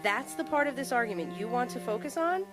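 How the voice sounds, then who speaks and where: A woman's voice: a little high, lively intonation, brisk, over music, natural voice. A young woman playing a rebellious, sarcastic teenage girl arguing with her strict father. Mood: defiant. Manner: sarcastic, defiant.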